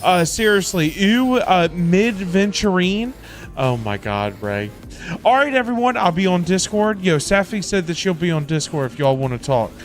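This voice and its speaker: Male game streamer, slightly high voice, informal and exclamatory, thanks-heavy effusive delivery, light music underneath. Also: expressive, normal speed. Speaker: livestream host. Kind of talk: casual livestream chat and game commentary. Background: background music.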